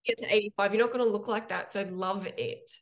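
A woman's voice: mid-range voice, brisk. Frank, reflective young woman coach. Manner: frank, reflective, animated storytelling. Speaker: personal trainer and health coach guest. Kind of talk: conversational podcast interview.

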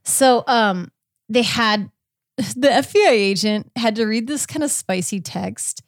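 A woman's voice: fairly high pitch, unhurried, no room sound, clean studio sound. A woman podcast host casually recapping a story in a chatty, informal way, with quoted asides. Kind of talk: casual conversational recap of a court case.

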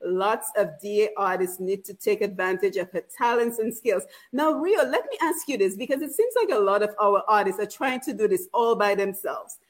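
A woman's voice: mid-range voice, normal speed, hardly any pauses. A woman hosting a program, interviewing a guest and reading out announcements, with many affirming "mm-hmm"s. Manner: frequent affirming "mm-hmm" and "right". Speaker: radio program host.

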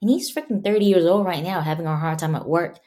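A woman from Malaysia talks as an interview guest, her voice sitting a little low. She tells her stories with animation and slips into the voices of scolding adults as she quotes them. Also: quick pace, warm tone. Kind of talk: conversational interview.

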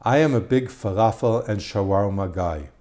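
A middle-aged man speaks English with an Israeli accent, his voice low and mellow. He talks in a measured, unhurried way, restarting phrases now and then as he answers interview questions. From United States. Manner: measured, slightly halting with restarts. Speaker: guest, tour guide. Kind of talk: casual interview conversation.

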